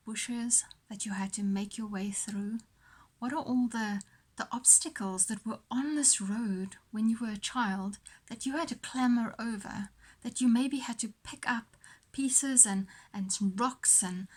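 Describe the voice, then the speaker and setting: A woman host leading a guided visualisation in a motivational coaching style, speaking deliberately with pauses and repeated phrases. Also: on the high side.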